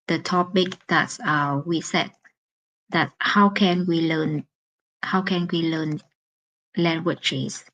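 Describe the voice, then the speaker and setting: Slow, low-voiced woman with Vietnamese accent. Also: poor audio. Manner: halting, searching for words, with many pauses. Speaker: live stream host. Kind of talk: casual solo live stream talk.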